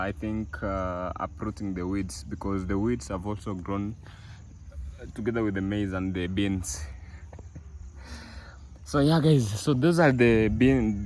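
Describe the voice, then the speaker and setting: Low-voiced guy vlogging on a farm, chatty, lots of uh's, faint bugs outside. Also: darkish tone, slight background noise. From East Africa. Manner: chatty, many fillers and restarts, addresses viewers as guys. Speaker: village vlogger. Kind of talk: casual walk-through vlog. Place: outdoors on a farm field.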